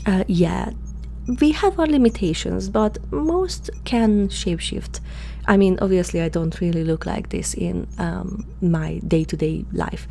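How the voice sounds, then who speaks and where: A woman playing a curious, awkward alien scientist in human form, speaking hesitantly and softly to the listener in an ASMR roleplay. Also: fairly low pitch, vocal fry. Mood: explaining, intrigued. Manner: hesitant, halting, softly spoken, sometimes whispering. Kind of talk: ASMR roleplay, one-sided dialogue to the listener. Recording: faint background hum and noise.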